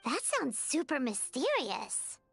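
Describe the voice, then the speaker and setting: A woman voicing a small, naive, chirpy fairy companion with a childlike, squeaky voice in an anime-style video game. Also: takes it slowly, gravelly, faint music behind, exaggerated voice. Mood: curious. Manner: refers to herself in the third person, eager, expressive.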